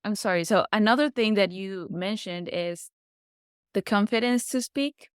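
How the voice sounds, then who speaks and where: A woman's voice: mid-range voice, unhurried, smooth, no echo, clean studio sound. A woman whose first language is Spanish, a podcast guest chatting casually and sometimes searching for words. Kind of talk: casual interview chat.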